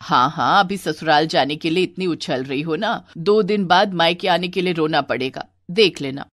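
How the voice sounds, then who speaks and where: A woman voicing a teasing, resigned older mother in a cartoon family story, gently chiding. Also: low voice, normal speed, dry recording, studio quality. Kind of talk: animated Hindi family story acting.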